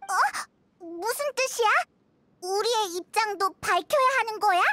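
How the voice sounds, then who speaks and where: A woman's voice: very high-pitched, unhurried, bright, forceful tone, no echo, full character voice. A woman voicing a chirpy, easily startled childlike girl companion in a Korean game, exclaiming and stammering when flustered. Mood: puzzled. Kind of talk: Korean game dialogue voice acting.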